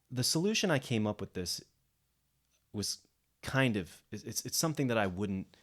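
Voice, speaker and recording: A man giving an unscripted software tutorial as a music producer, thinking aloud and rephrasing as he goes. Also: fairly low pitch. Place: indoors, voice-over of a screen recording.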